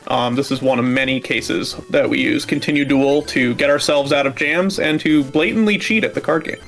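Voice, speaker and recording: A man's voice: mid-range voice, moderate pace, vocal fry, a bit bright, soft background music, poor audio. A male speedrunner casually explaining his strategy as he plays. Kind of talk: casual gameplay commentary.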